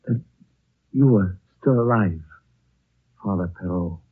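An actor playing an earnest, awestruck man in a 1930s radio drama, speaking haltingly and breathily. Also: low-pitched, slightly rough, heavily acted. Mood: awed. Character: earnest, awestruck man hearing an incredible revelation. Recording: tinny, muffled old 1930s radio recording.

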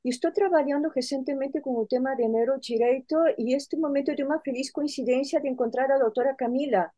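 Peruvian-accented woman professor, fairly high and mellow voice, dry close sound, polite and measured with small fillers. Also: dry recording. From Peru. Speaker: law professor. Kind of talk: academic panel conversation.

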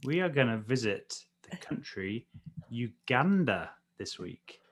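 A man presents a quiz round at a slow, deliberate pace. His voice rises and falls in lively swings as he lands each fact. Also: medium pitch, breathy edge. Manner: announces facts with slow emphasis.

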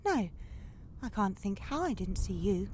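A woman's voice: medium pitch, big pitch swings, unhurried, a little mellow, slight background noise. A British woman playing a well-bred, self-conscious young Victorian lady in an audio drama, speaking politely and formally with some hesitancy. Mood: awkward, guarded. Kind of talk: audio drama acting in period English.

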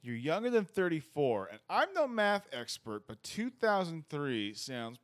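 A man in his thirties hosting a comedy podcast, joking in a dry, deadpan way with sudden loud bursts. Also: fairly high pitch, measured pace. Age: 30s. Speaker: podcast host.

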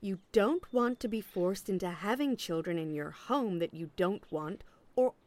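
A woman's voice: mid-range voice, expressive, measured pace, darkish tone, no room sound, close to an everyday voice. A woman acting in an audio play as an officious agent, politely relentless and salesy, with veiled sarcasm. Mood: coolly pointed.

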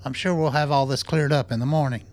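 An actor playing a courteous doctor in a radio play, polite and tactful in manner. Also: male voice, medium pitch, steady tone, quick pace, darkish tone, close to an everyday voice. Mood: tactful, measured. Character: tactful, courteous male doctor smoothing tensions.

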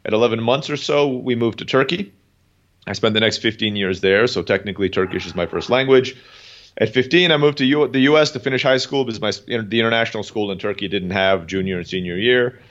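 Fast-talking man on dull call audio. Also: lowish voice, a bit bright. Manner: fast, rambling, with many fillers. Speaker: guest entrepreneur. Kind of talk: relaxed storytelling interview.

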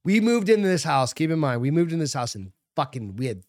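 Brash American man, higher-pitched, dry sound. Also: very animated, quick pace, dry recording. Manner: brash, sweary, informal. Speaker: podcast co-host. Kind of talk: casual banter and storytelling.

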